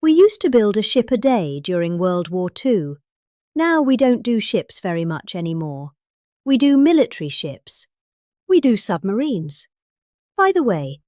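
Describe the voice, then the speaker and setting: A woman's voice: mid-range voice, dark tone, no room sound, clean studio sound. A middle-aged British woman giving a theatrical parody reading of a speech, with exaggerated, emphatic delivery. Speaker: performer reading a political speech.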